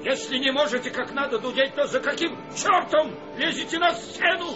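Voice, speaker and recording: A woman playing a furious, tyrannical orchestra conductor in a radio play, shouting imperiously and in exasperation at the musicians. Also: high voice, normal speed, dull audio, music in the background, natural voice. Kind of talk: theatrical radio play acting.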